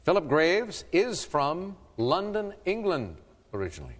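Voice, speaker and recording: A man's voice: fairly high pitch, a little slow, big-room sound. A man hosting a radio quiz show, reading clues and bantering with the contestants in a wry, playful way. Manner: lively, wry, playful asides.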